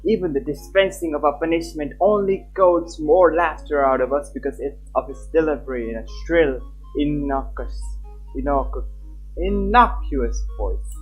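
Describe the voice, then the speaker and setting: A man live-streaming a game, reading aloud and chatting, halting at times and stumbling over his words. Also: on the high side, rough recording. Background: game music.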